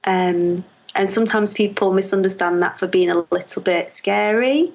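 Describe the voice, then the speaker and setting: A woman with a Lancashire accent, a knitting podcaster chatting as an interview guest, blunt and direct and quick to laugh. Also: mid-range voice, vocal fry. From Lancashire, England. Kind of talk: casual interview chat.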